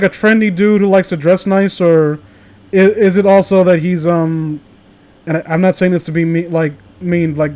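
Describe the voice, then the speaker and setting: A man hosting a casual group stream, talking in a rambling, excitable way. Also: high voice. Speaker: host of a live group stream. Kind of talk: casual chat.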